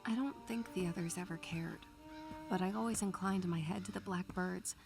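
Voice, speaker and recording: A woman's voice: fairly low pitch, vocal fry. A woman playing a bookish, anxious young student who narrates her memories in a literary, atmospheric style. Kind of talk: atmospheric first-person scripted narration.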